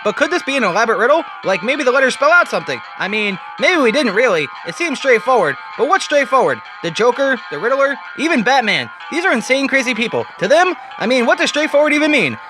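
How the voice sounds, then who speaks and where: A man voicing a halting, increasingly panicked crime expert being interviewed on the radio in a dramatic reading. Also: very high-pitched, sing-song, over music, voice pushed up. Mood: anxious, rambling. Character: jittery, rambling male crime-studies expert interviewed on radio.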